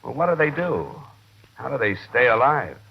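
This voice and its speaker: An actor playing a tough, world-weary American insurance investigator in a vintage radio drama, clipped and matter-of-fact. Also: male voice, medium pitch, big pitch swings, normal speed, creaky, slight background noise. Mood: businesslike. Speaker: lead actor in a radio detective series. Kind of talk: 1950s radio drama acting, first-person narration and dialogue. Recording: muffled vintage radio recording with music.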